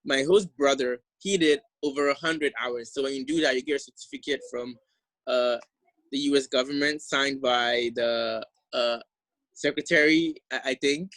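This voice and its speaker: A young man from Ghana with a Ghanaian accent softened toward American, giving a friendly, enthusiastic talk over slides. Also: mid-range voice, moderate pace, no room sound, low-quality recording. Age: young adult. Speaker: exchange alumnus presenter. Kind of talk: casual presentation over slides.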